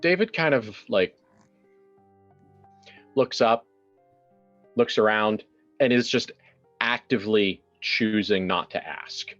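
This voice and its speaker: A man with a lowish voice improvises game narration over soft music, circling back on words as he feels his way forward. The recording is a little dull and muffled. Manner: halting, searching for words with repeated phrases. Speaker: tabletop role-player narrating his character. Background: background music.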